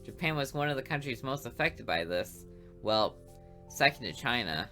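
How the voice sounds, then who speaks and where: A woman voicing a sarcastic, lazy male high school student in a game, talking in a dry, smug drawl. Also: animated, moderate pace, a bit bright, soft background music.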